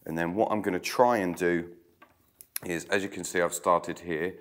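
Man, thirties, very low voice, croaky with a cold, chatty craft tutorial, stone room. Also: fairly even intonation, moderate pace. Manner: chatty, hands busy weaving. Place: old stone-walled room indoors.

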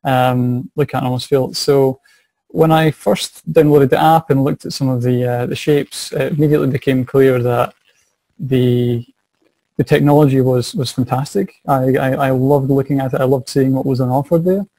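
A man's voice: mid-range voice, steady tone, normal speed, mellow, dry recording, rough recording. A young Scottish man, a science and maths teacher, answering interview questions over a video call from a small office, eager and repeating words as he thinks. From Scotland. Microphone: video call webcam.

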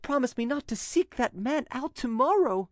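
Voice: high-pitched, lively intonation, normal speed, no echo, studio quality, pitched above the performer's own voice. A narrator voicing a frightened young Frenchwoman who pleads with theatrical, imploring urgency in an audiobook story. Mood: frightened pleading.

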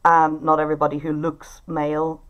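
A woman's voice: low voice, monotone, brisk, dull audio. A woman with an Irish accent, informally hosting an online group discussion over a video call. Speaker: writers' group facilitator and event host. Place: indoors at home.

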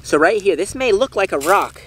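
A young man filming an excited outdoor wildlife vlog by old railway tracks, talking straight to viewers. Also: sits high, normal speed, a little hiss or hum. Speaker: wildlife vlogger.

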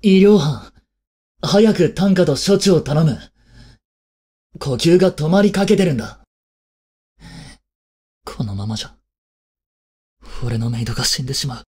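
An actor playing a cold, haughty young prince in an audio drama, with a husky, breathy voice and a curt, imperious manner. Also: male voice, fairly high pitch, expressive, measured pace, pauses often, a little mellow. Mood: urgent, desperate. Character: cold, haughty young prince scolding and fretting over his maid. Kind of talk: Japanese audio drama acting.

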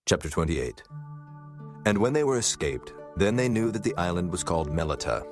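A male narrator with a very low voice reads scripture as scripted drama. His delivery is measured and solemn, and music plays clearly behind him. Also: sing-song, darkish tone.

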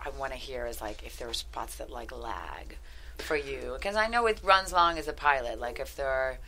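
Bright, low-pitched American woman. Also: normal speed. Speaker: guest screenwriter and actress. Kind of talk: casual interview chat. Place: studio couch on a podcast set. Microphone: headset.

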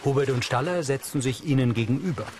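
A man's voice: medium pitch, fast, background noise. A man reading scripted audio description for a television drama in a matter-of-fact way. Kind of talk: scripted narration.

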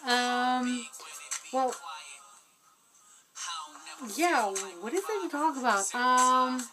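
Young woman in her twenties, webcam vlog from a small dim room, music clearly behind her, rambling and self-deprecating with many ums. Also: high voice, extremely slow, low-quality recording. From Albania. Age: 20s. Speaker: guest vlogger. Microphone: webcam at close range.

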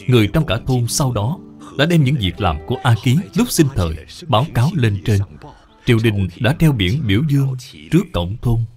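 A man's voice: mid-range voice, lively intonation, normal speed, a little mellow, soft background music. A man narrating a moral cartoon tale in a scripted storybook style.

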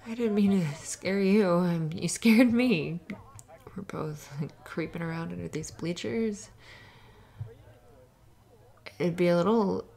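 A woman playing a sweet, flustered young cheerleader in an ASMR roleplay, halting and stammering as she hides that she is upset. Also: lowish voice, warm tone. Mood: shy, friendly. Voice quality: creaky, often dropping to breathy whispers. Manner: halting, stammering, nervous little laughs. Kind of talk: ASMR roleplay, spoken to the listener.

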